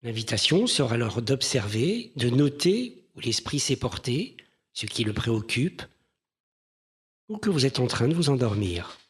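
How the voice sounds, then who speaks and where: A man leading a guided mindfulness meditation in a gentle, measured manner with pauses between phrases. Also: mid-range voice, sing-song, breathy edge.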